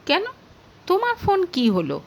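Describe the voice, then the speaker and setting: A woman's voice: very high-pitched, huge pitch swings, moderate pace, creaky, a little hiss or hum, pitched above the performer's own voice, exaggerated voice. A woman voicing a curt, evasive husband with a brusque manner in an audio story. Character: evasive, curt husband guarding his secret.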